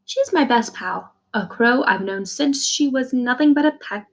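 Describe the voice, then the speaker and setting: A woman's voice: on the high side, expressive, moderate pace. A woman reading a children's novel aloud like a teacher, expressively, in the first person, with simple explanations for young listeners. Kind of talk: read-aloud storytelling with brief explanations.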